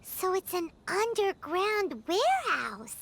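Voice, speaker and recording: A woman voicing a tiny, childlike, excitable and timid fairy companion in a video game, with a cutesy manner. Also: slow, a little hiss or hum, exaggerated voice. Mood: curious, uneasy. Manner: cutesy, squeaky, speaks of herself by name. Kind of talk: scripted video game dialogue.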